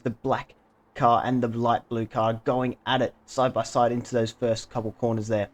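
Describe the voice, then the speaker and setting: A man with a medium-pitched voice narrates his own sim race as running commentary. His intonation stays fairly level as he follows the action corner by corner, speaking as the player behind the wheel. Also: darkish tone. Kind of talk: race commentary over recorded gameplay.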